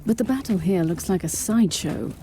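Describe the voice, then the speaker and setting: A woman's voice: medium pitch, animated, brisk, heavy background noise, natural voice. Woman doing a stern cold inquisitor lady, bossy, game cutscene. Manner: cold, commanding. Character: stern, zealous female warrior inquisitor.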